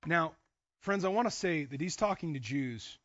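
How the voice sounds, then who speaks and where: Male preacher, high-voiced and emphatic, delivering a sermon with acted-out dialogue; close, fairly dry but slightly muffled sound. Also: animated, moderate pace, fairly bright tone, no room sound. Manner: emphatic, dramatizes imagined dialogue, repeats phrases. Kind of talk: sermon to a congregation.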